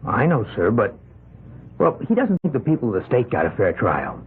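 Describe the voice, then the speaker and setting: A man's voice: on the low side, wildly swooping pitch, normal speed. An actor playing a meek, deferential, guilt-ridden young man who hesitates and stammers nervously in an old-time radio drama. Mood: meek, hesitant. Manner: hesitant, polite, agitated stammering. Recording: tinny old radio broadcast, faint noise.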